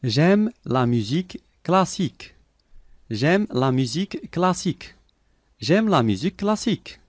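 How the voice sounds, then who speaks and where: Male lesson reader: deliberate, clear, repeats each phrase, animated intonation. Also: on the high side, no room sound. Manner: clear, deliberate, each sentence said three times. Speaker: language lesson voice. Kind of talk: slow repeated phrase reading for learners.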